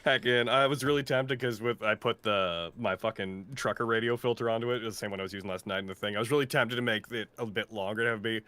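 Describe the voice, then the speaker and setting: Guy w a lowish bright sharp voice, joking around on a cast livestream, silly swears. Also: steady tone, quick pace, few pauses, no room sound. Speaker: podcast voice actor and creator. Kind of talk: casual livestream chat among castmates.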